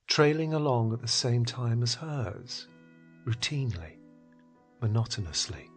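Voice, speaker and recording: A man reading scripted literary fiction in a husky, breathy voice that often drops toward a whisper, with measured, dramatic phrasing. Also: medium pitch. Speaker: narrator. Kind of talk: scripted literary story narration.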